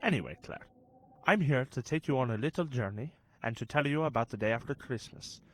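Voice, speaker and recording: An actor playing a kindly, gently wry young saint who guides and explains, a touch pedantic, in a children's audio drama. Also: male voice, mid-range voice, lively intonation, a little fast.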